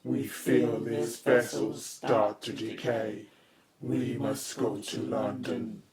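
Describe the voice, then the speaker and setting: A man's voice: mid-range voice, steady tone, takes it slowly, creaky, a loud crowd. A man playing a menacing, imperious ancient spirit of a cursed drum in an audio drama. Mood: grandiose, imperious.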